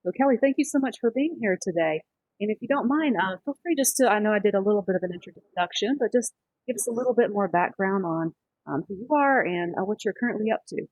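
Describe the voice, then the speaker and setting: A woman hosting a career talk for students, introducing and questioning a guest in a polite, slightly halting way. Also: mid-range voice, lively intonation, no room sound, muffled recording. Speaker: host, career adviser to music students. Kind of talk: reading an introduction and interviewing.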